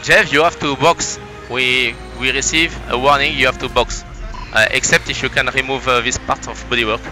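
A man's voice: mid-range voice, steady tone, moderate pace, low-quality recording. A man working as a race engineer, giving instructions over team radio. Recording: race radio over music and car noise.

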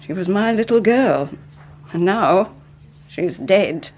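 An older mother consumed by grief and bitterness in a radio horror drama. She speaks intensely in clipped, accusing phrases at a middling pitch, with slight background noise. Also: expressive, slow pace, darkish tone. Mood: bitter, accusing.

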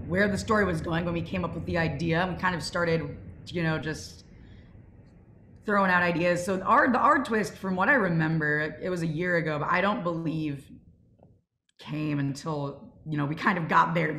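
Casual young female host, lower register, echoey room on a video call. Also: a bit bright. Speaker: host of club Q&A, show creative team. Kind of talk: casual panel Q&A chat. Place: remote video call, roomy indoor space. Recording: roomy echo over video call.

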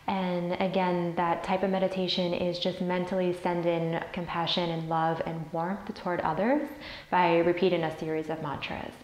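A woman leading a guided meditation as a teacher, soothing and deliberate as she repeats phrases. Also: lowish voice, little pitch movement, darkish tone. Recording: roomy, echoey sound.

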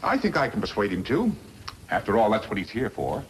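An actor playing a scheming, sardonic villain boss in a 1950s radio western, insinuating and bossy. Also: male voice, fairly low pitch, huge pitch swings, fairly quick. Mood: sly, calculating. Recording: noisy vintage 1950s radio recording.

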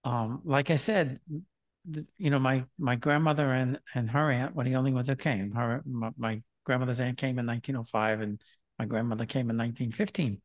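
An older man hosting a video call conversation, talking in a rambling, hesitant way with many pauses. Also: medium pitch, steady tone. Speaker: host. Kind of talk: casual conversation. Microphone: headset on video call.

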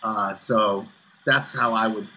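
A man in his fifties explaining things emphatically and deliberately as an interview guest on a video call. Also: on the low side, fairly even intonation, pushed and bright, a little hiss or hum, low-quality recording. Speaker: guest, author and executive coach. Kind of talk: interview answers on business networking. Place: small furnished room indoors, on a video call. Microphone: video call, computer at desk distance.

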